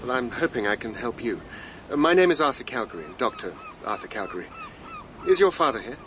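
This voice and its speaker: Earnest visiting scientist for radio play: hesitant, polite, expressive low-set voice, slight noise. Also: male voice, brisk. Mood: nervous, polite. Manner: hesitant, apologetic, painstakingly polite. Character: earnest, awkward male scientist, a troubled visiting stranger. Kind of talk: radio drama acting. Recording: faint background noise.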